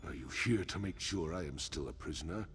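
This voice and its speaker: An actor playing a bitter, taunting captured orc chief in a video game, with a gravelly voice. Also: male voice, rumbling low, expressive, fairly quick, fairly bright tone. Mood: taunting, defiant. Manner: taunting, sardonic. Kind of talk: video game character acting. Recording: game music under the voice.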